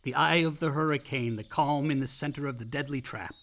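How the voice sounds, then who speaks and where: An actor playing a volatile, wounded college-age son in a radio play, with a sardonic, defensive American delivery. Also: male voice, mid-range voice, a little fast, a bit bright, slight background noise, lightly acted. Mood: sullen, defensive. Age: young adult. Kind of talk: naturalistic radio play acting.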